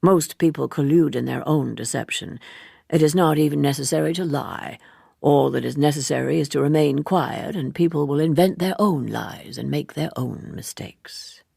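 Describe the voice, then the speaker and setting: A woman voicing a worldly, detached man in a storybook, speaking slowly and formally with cool evasiveness in a husky, creaky voice. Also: low voice, dark tone, dry recording, studio quality, heavily acted.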